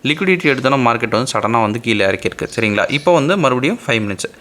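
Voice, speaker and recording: A man's voice: medium pitch, animated, very fast, fairly bright tone, a little hiss or hum. A man with an Indian Tamil accent, explaining step by step as a trading instructor in an informal screen-share tutorial and often checking that listeners follow. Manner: explanatory, often checking understanding with short tag questions.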